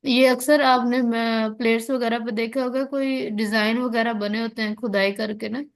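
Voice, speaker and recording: A woman lecturer with a Pakistani accent and a fairly high voice. She explains material in a teaching style, posing questions and answering them herself. She regularly checks that the listener is following. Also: level tone, normal speed. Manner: checks understanding often, asks and answers own questions. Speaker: law lecturer. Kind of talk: explanatory online lesson, reading out and explaining legal text.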